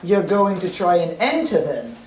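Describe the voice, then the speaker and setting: Actor as loyal gentleman companion, echoey stage. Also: high-pitched, big pitch swings, moderate pace. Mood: curious. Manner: polite, questioning, formal. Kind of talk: old-time radio drama acting on stage. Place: community playhouse stage. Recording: echoey, distant stage recording, faint noise.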